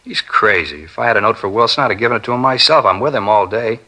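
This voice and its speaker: An actor playing an earnest, defensive film studio worker under questioning in a 1950s radio drama. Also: male voice, fairly low pitch, lively intonation, quick pace, bright, forceful tone. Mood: indignant. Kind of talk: 1950s radio acting, naturalistic dialogue.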